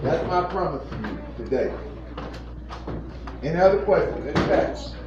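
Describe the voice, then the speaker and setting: A man's voice: on the high side, lively intonation, normal speed, a crowd in the background. A man in his fifties, a plant manager, giving an earnest, repetitive motivational talk to a group of children. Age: 50s. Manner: earnest, repetitive, preacherly emphasis. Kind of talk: informal motivational talk to kids. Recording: echoey, distant, muffled room recording with faint noise.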